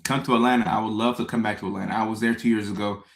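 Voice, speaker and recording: Upbeat comedian from Ghana, low-ish voice, live-stream chat in an echoey room. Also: male voice, steady tone, racing, a bit bright. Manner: upbeat, greets viewers by name, repeats phrases. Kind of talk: casual live-stream chat reading viewer comments.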